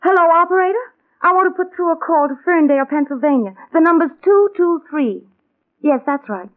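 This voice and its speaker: An actress playing an anxious wife with an American accent, worried and plaintive, in 1940s radio drama. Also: female voice, very high voice, lively intonation, fairly quick. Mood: puzzled, insistent. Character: anxious, fretful wife searching for her missing mother. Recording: tinny narrow-band old radio recording.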